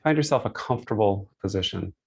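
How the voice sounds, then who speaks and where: Low-pitched, creaky-voiced man guiding meditation. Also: lively intonation. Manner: gentle, unhurried phrasing with pauses. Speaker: guided meditation leader. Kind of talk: guided meditation, instructional and soothing.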